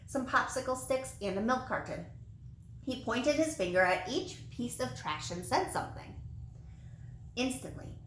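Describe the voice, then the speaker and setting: A woman reading a children's storybook aloud in an expressive, animated way with dramatic emphasis. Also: fairly high pitch, a little hiss or hum. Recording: roomy, echoey sound.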